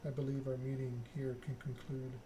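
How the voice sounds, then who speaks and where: A man voicing a stern female vampire prince from a narrative game, with a formal, imperious, deliberate delivery. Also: medium pitch, monotone, noisy background, lightly acted. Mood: commanding.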